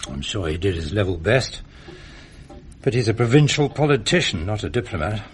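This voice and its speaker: An actor playing an ageing, world-weary former British officer with an English accent and clipped, understated upper-class speech in a radio drama. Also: male voice, lowish voice, very animated, a little fast. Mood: weary, grave. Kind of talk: period radio drama dialogue. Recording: noisy archive radio drama recording.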